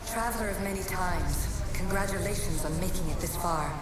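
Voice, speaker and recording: A woman playing an ancient, otherworldly precursor in a video game, speaking in a measured, solemn, earnest way as she delivers a message. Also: fairly low pitch, heavy background noise, close to an everyday voice. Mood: earnest, inviting. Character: calm female precursor being, ancient, solemn, gently chiding. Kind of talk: video game voice acting, dramatic monologue. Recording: very echoey, distant voice under loud music.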